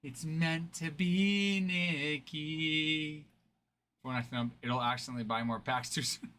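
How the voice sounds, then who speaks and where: Bright, high-voiced joking man. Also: steady tone, slow. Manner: joking, laughs often. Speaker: card break host. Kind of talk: casual livestream banter.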